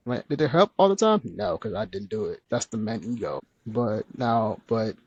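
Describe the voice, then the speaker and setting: A man speaking gently and earnestly as a podcast guest, with a soft, soothing voice. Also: on the low side, big pitch swings, normal speed, slightly rough, no echo, lo-fi sound. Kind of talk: candid conversational interview.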